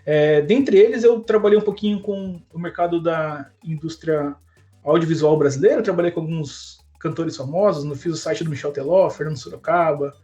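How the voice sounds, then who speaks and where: A man's voice: fairly high pitch, normal speed, dark tone, highs cut off, soft background music. A man with a Brazilian Portuguese accent co-hosting a podcast, chatting casually with lots of slang and fillers. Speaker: podcast co-host.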